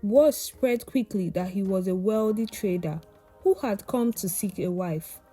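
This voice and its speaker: A woman's voice: medium pitch, a little slow, warm tone, soft background music, low-quality recording. A woman narrating a scripted folktale as a storyteller.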